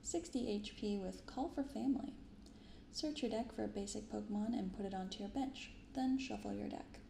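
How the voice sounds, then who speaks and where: A soft-spoken woman hosts in a gentle ASMR style, with casual, unhurried commentary. Her voice is mellow with a creaky fry in it. The room has an audible echo around her. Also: medium pitch, slight background noise. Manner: gentle, unhurried reading of card text. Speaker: host of a card-opening video. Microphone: binaural microphone.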